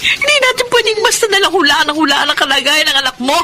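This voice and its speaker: A woman's voice: big pitch swings, fast, sharp tone, slight background noise, heavily acted. An actress playing a poor wife and worried mother who scolds her husband, in a radio drama. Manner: scolding, shrill.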